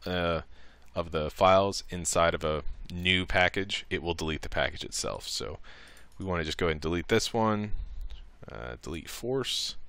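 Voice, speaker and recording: Deep-voiced man giving a software tutorial. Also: normal speed, smooth, no echo. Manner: informal, improvised, talking through each click. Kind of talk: casual step-by-step screen tutorial.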